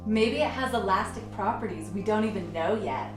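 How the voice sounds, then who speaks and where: A woman's voice: medium pitch, moderate pace, recorded from a distance, lightly acted. Woman acting a curious wry lady scientist in a radio play, off mic, music under her. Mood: speculative. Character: inquisitive, teasing female scientist. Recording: echoey room, off mic.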